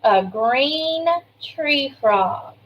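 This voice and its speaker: A woman kindergarten teacher reading an information book aloud to young children, adding chatty asides, simple explanations and questions in an expressive way. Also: high-pitched, very slow, muffled recording.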